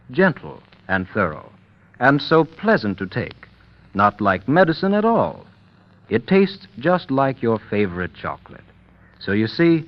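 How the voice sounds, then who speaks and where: A man announcing in a scripted old-time radio style, as in a sponsor message. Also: medium pitch. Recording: dull, lo-fi old radio recording.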